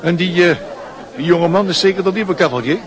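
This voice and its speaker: A man's voice: sits high, fairly quick, natural voice. A man acting a genial, paternal middle-aged schoolmaster with an avuncular, probing manner in a radio drama. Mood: kindly, probing. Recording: busy crowd noise behind. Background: crowd chatter.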